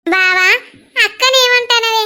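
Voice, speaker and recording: A woman acting a very high-voiced, devoted younger sister. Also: unhurried, pushed and bright, full character voice. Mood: protective, hospitable. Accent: Telangana. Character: affectionate, devoted younger sister in a village family. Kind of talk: rural family drama acting.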